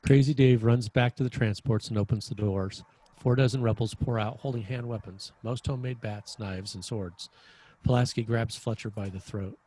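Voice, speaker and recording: Dark-voiced man narrating a screenplay. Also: mid-range voice, steady tone, normal speed, rough recording. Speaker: stage-direction narrator.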